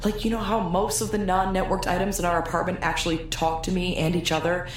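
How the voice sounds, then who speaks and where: A woman's voice: on the low side, flat delivery, fast, close to an everyday voice. Woman acting puzzled teammate in an echoey room. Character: puzzled female teammate good with talking machines. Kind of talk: audio drama acting. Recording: very echoey room, faint noise.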